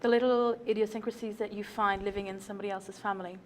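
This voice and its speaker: An Irish teacher in her thirties speaking in a measured, polite way, in a small TV studio. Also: medium pitch, level tone, a little hiss or hum. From Ireland. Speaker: teacher leading an exchange trip.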